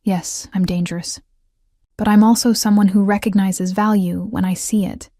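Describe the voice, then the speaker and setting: Mafia boss, male, controlled and calm, read by a woman narrator, dark, even tone, dry close sound. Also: mid-range voice, moderate pace, no echo, lightly acted. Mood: cool. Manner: calm, quietly probing.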